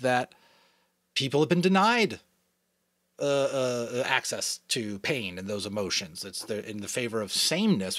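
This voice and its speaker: A man's voice: mid-range voice, no echo, clean studio sound. A man from New England, a father, giving a dramatic audiobook reading with casual commentary. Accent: New England American. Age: adult, a father. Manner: animated, expressive storytelling. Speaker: audiobook reader.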